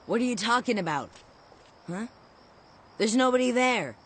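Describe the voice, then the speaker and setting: A woman voicing a brash, impatient young boy with a slangy, teasing manner in an animated game. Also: on the high side, normal speed. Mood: dismissive, teasing. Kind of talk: animated game cutscene acting.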